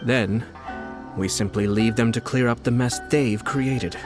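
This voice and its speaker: An actor playing a composed, scheming young noble strategist in a game, speaking in a measured, persuasive way. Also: male voice, medium pitch, over music, lightly acted. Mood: calm scheming. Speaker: game character. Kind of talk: game voice acting.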